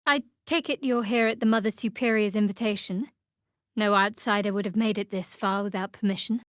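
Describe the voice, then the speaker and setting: An actress playing a guarded, devout young woman cleric in a video game, with a wry, measured delivery. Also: mid-range voice, fairly even intonation, fairly bright tone, no echo, clean studio sound, natural voice. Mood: cool, wary. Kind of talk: video game character voice acting.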